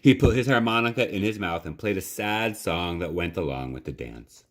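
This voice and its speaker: A man reading a children's story aloud in a measured, dramatic, expressive narration. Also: low voice.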